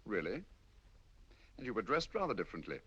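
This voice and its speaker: A man playing a shrewd, calm detective in an old radio drama, speaking in a measured, courteous, dryly confident way. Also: fairly low pitch, slightly rough, full character voice. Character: shrewd, calm male detective, middle-aged. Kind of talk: 1940s radio acting. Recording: dull old radio audio.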